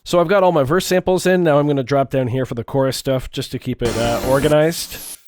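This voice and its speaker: A man's voice: mid-range voice, brisk, music in the background. Congested man giving a casual gear tutorial. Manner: relaxed, chatty, with fillers and small restarts. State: stuffed up, congested. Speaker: music gear video creator.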